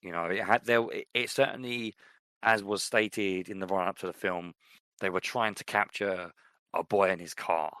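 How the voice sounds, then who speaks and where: A man's voice: sits low, steady tone, normal speed, a bit bright, dry recording. A man co-hosting a podcast in casual chat, opinionated, with many restarts and fillers. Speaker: podcast co-host.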